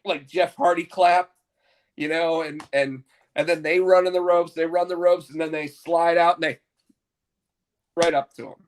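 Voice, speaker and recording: A man with a somewhat high voice co-hosts a casual chat, stuffed up from a cold. He rambles and restarts his sentences often, stumbling over his words as he goes. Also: steady tone, normal speed. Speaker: podcast co-host. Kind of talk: casual wrestling talk.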